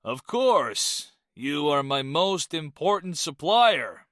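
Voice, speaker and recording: A composed handler speaking over a speakerphone, businesslike and probing, as a male narrator puts him on. The pitch sits a little above the narrator's own, and the sound is bright and dry. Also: very animated, slow, dry recording, full character voice. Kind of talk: audiobook character voice.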